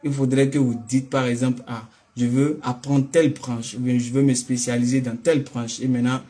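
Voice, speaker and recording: A man with a West African French accent speaks as the host of a casual religious phone call. His voice sits at a medium pitch. He is a little hesitant and often repeats a phrase before moving on. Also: little pitch movement, fairly quick, mellow.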